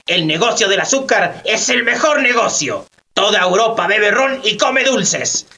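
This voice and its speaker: An actor playing a smug, ruthless colonial European sugar merchant in a satirical radio drama, haughty and mock-courteous. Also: male voice, high-pitched, animated, unhurried, bright, forceful tone, distant mic, full character voice. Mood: greedy, calculating.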